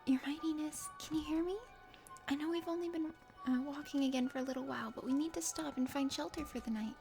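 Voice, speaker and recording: A woman's voice: high voice, quick pace, gravelly. A woman playing a stubborn young female mountain guide in a game, exasperated and plain-spoken as she scolds. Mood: anxious. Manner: indignant, scolding. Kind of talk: dramatic game character reading. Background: music.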